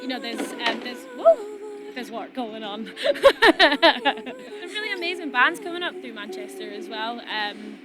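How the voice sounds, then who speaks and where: A chatty Scottish singer with a high, bright-sitting voice. She talks loosely, with fillers and easy laughs, while loud music plays underneath her. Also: sing-song, measured pace, a crowd in the background. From Scotland. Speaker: singer and songwriter. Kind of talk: casual interview chat.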